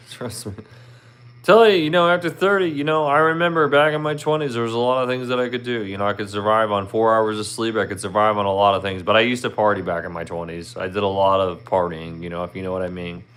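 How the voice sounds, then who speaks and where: A man in his thirties with a Philadelphia accent, hosting a casual livestream. His voice sits low and comes across smooth and resonant. He rambles in a friendly way, filling gaps with "you know". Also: a little fast, a bit bright. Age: 30s. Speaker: livestream host. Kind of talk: casual livestream chat with viewers.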